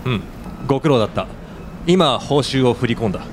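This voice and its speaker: A man's voice: medium pitch, animated, brisk, loud music behind the voice, natural voice. Radio drama handler, played by a man: menacing, curt, coolly superior, mid-range voice. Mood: cold menace. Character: cold, menacing male handler who erases people's memories.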